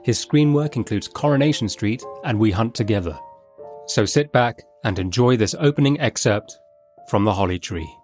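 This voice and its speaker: A man with a fairly low voice hosts a story podcast. He reads a scripted introduction, with soft guitar music playing behind him. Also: animated, normal speed. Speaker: podcast host.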